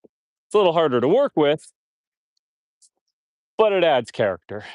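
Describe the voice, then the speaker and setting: A man's voice: fairly high pitch, unhurried, dry recording, highs cut off. A man live-streaming from his homestead, chatting casually while he builds and reading viewer comments, sounding a little congested. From Canada. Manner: relaxed, chatty, reads viewer comments aloud. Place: outdoors on a homestead.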